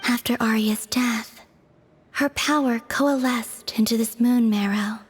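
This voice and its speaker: A woman voicing an aloof, serene young moon goddess in a game cutscene, speaking softly and formally in a measured way. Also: fairly high pitch, a little hiss or hum. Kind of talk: video game cutscene voice acting.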